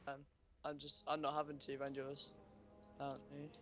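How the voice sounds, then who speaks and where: A man's voice: a little high, completely flat delivery, brisk, a bit bright. A man hosting casual gameplay commentary, rambling along as he talks to himself. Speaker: gaming video host. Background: game music.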